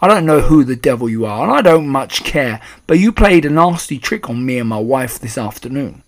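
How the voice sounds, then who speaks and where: A man's voice: mid-range voice, animated, a little fast, close to an everyday voice. A man voicing an angry, blustering middle-aged English father in a storybook, rude and spluttering. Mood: angry, suspicious. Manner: rude, shouting, spluttering, stammering when shocked.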